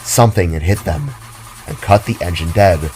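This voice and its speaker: A man's voice: low-pitched, lively intonation, moderate pace, a little mellow, a little hiss or hum. A man giving dramatic scripted narration as the storyteller of an audio drama. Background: background music.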